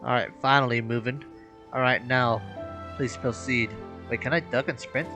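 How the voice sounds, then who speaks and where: A man's voice: mid-range voice, fairly even intonation, moderate pace, music in the background. A man giving casual gameplay commentary, slangy and exclaiming, talking back to the game. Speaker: gaming streamer.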